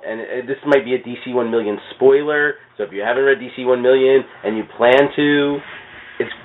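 A man chatting casually about comics, thinking aloud in an animated, rambling way and repeating his phrases as he goes. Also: medium pitch, brisk, phone-quality sound. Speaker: podcast guest. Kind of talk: casual comics fan chat.

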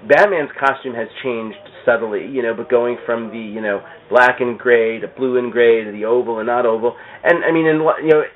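A man chatting casually about comics in a halting way, repeating words and restarting his sentences. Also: medium pitch. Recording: thin, band-limited phone-like radio sound.

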